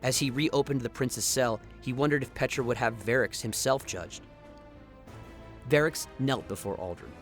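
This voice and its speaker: A man's voice: medium pitch, normal speed, soft background music. A man reading dramatic scripted narration for a sci-fi radio play, as the storyteller.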